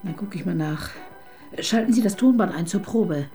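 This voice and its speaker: An actress playing a resolute female police inspector in a radio crime drama, giving commands briskly and often whispering. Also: on the low side, expressive, warm tone, faint music behind, close to an everyday voice. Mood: tense. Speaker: police detective.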